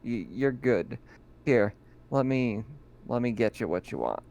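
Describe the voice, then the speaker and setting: Guy doing a chill shopkeeper dude, slow and easy, smooth clear voice. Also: medium pitch, expressive, darkish tone. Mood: obliging. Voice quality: casual, easygoing shop talk. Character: obliging male shopkeeper, adult.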